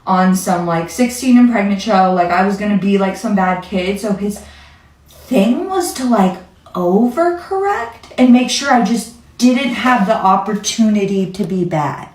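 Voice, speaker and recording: A woman's voice: medium pitch, lively intonation, measured pace, a little mellow. A young woman telling a casual personal story in a conversational, self-deprecating way, peppered with "like". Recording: roomy echo, distant from mic.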